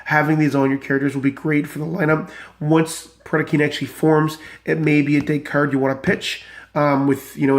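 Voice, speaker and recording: Enthusiastic male deck reviewer, slightly high voice, still recovering from illness, chatty and rambling. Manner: enthusiastic, rambling with many fillers. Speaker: card game deck reviewer. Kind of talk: casual deck walkthrough.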